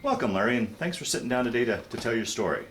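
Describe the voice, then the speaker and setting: A male interviewer opening an oral-history session and asking short, measured questions. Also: mid-range voice, sing-song, a little hiss or hum. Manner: brief, measured prompts and soft backchannels.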